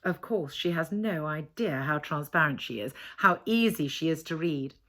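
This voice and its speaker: A snobbish, self-satisfied village wife, read by a woman from a novel. She talks in an airy, genteel way, patronising and quietly competitive. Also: deep voice, lively intonation, moderate pace. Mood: smugly superior.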